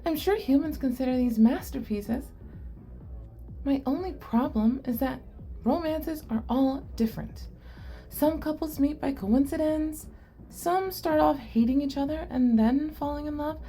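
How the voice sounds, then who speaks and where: A woman's voice: sits high, unhurried, warm tone, soft background music. A woman playing a shy, curious, nerdy young goblin girl in an ASMR roleplay, speaking earnestly and expressively to the listener. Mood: earnest, puzzled.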